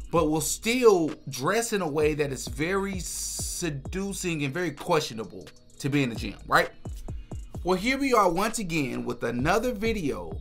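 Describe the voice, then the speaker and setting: A young man hosting a reaction video in a small room, giving casual, opinionated commentary and repeating words for emphasis. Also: a little high. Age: young adult. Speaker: host of a reaction channel. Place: small furnished room indoors.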